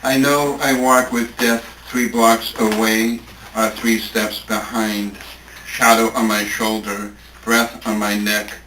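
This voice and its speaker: A man reading poetry aloud at a live event, deliberate and pausing phrase by phrase. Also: lowish voice, little pitch movement, bright, forceful tone. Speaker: poetry reader at a tribute event. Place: live event room, recorded from a distance. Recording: echoey room, distant mic, faint noise.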